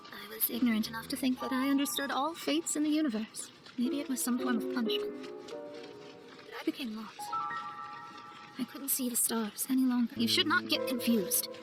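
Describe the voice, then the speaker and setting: A woman's voice: a little high, natural voice, poor audio. A woman voicing a proud, earnest young female astrologer in a video game, speaking formally and precisely.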